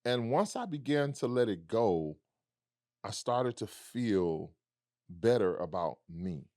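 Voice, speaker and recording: A man with a low, deep baritone speaks in a reflective, storytelling way. He acts out remembered dialogue as he goes. The sound is close and dry, with no room around it. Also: sing-song, slow pace, darkish tone, no echo. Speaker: musician guest. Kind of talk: casual conversation.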